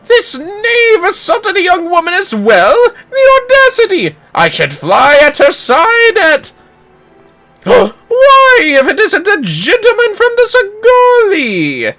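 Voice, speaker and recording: A streamer voicing a pompous, excitable male gentleman inspector from a video game, speaking in grand theatrical exclamations. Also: very high voice, takes it slowly, soft background music, pitched above the performer's own voice, heavily acted. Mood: excited, indignant. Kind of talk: playful video game character voice.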